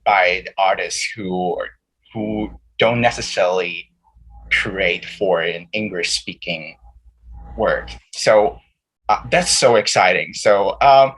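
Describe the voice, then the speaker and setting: A man's voice: fairly low pitch, sharp tone, slightly rough, low-quality recording. A man hosting an interview, eager, stumbling over repeated words, whose first languages are Cantonese and Mandarin. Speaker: host. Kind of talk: casual interview chat.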